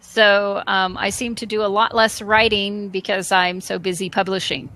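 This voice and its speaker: A woman hosting a casual interview as a publisher, chatty and quick to laugh. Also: medium pitch, little pitch movement, sharp tone, poor audio. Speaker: host, publisher. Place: home, phone ringing nearby.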